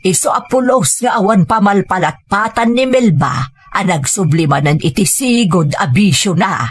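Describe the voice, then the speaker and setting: A woman's voice: medium pitch, expressive, normal speed, breathy edge. A woman playing a reproachful older mother who lectures her lazy son in an audio drama. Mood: worried, exasperated. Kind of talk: Ilocano audio drama acting.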